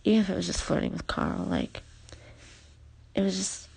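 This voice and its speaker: A woman telling personal memories in an intimate, halting, confessional way, her breathy voice often thinning toward a near-whisper. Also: lowish voice, huge pitch swings, a little mellow. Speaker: personal storyteller addressing a former partner. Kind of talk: intimate spoken memoir, unscripted.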